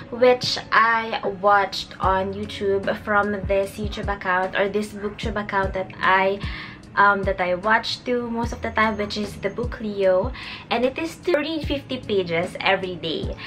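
A woman's voice: mid-range voice, a bit bright. A woman, chatting casually to camera in a bedroom book vlog, rambling with restarts and slipping into Tagalog phrases. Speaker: book vlogger. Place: small bedroom, indoors.

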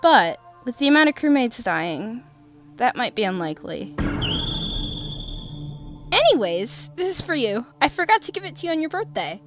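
A woman voicing a sweet, bubbly young female crewmate in a comic dub, with a cutesy, expressive delivery. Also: on the high side, moderate pace, pauses often, over loud music. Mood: earnest. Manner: cutesy, sing-song, expressive.

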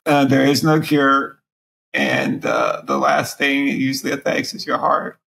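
Man, 40s, raspy and gravelly, halting delivery through a breathing machine, interview guest over video call. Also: medium pitch, big pitch swings, a bit bright, poor audio. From Alabama. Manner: speaks around a breathing machine mouthpiece, pausing often. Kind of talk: candid personal interview. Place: small room at home, indoors.

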